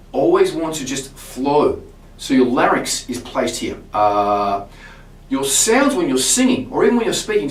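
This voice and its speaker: A man, a singing teacher, explaining vocal technique to camera in a relaxed way, often restarting his words. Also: on the high side. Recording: roomy sound from a distance.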